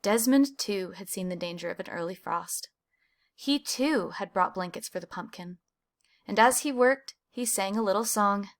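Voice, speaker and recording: A woman reading a children's picture book aloud, with animated emphasis on key words. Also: mid-range voice, moderate pace. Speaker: storybook reader.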